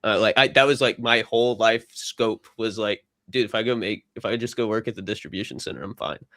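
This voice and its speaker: A young man talks casually in a podcast chat, laid-back, with lots of filler words. His voice is fairly low. The sound is close and dry with no room echo. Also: fairly quick, fairly bright tone. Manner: laid-back, filler-heavy, laughs easily.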